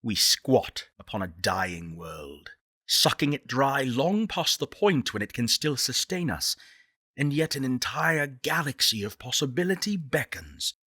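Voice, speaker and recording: A man playing a solemn, visionary director of a space exodus faction in a video game, speaking in measured, eloquent oratory with dramatic pauses. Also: medium pitch, sing-song, bright, forceful tone, breathy edge, dry recording, studio quality, full character voice. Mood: impassioned urging. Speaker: faction leader.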